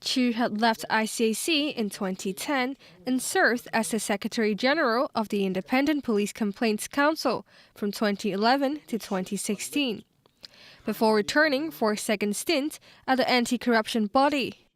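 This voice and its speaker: A woman's voice: on the high side, moderate pace. A woman working as a TV news reporter, delivering a scripted news narration.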